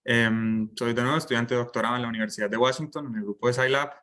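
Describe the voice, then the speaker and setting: A man's voice: mid-range voice, fairly even intonation, fast talker, sharp tone. Young Colombian man giving an informal lecture. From Bucaramanga, Colombia. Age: young adult. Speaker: guest lecturer, doctoral student.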